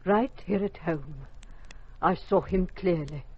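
An actress playing a calm, grieving mother who has visions, in a 1950s radio drama. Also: fairly low pitch, slow, slightly rough, full character voice. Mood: sorrowful, entranced. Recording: dull 1950s radio recording.

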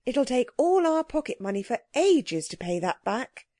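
Woman doing a no-nonsense grumbly boy, storybook style, slightly raised pitch, soft tone. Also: sing-song, normal speed, no room sound, studio quality. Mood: grumbling. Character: blunt, matter-of-fact young boy.

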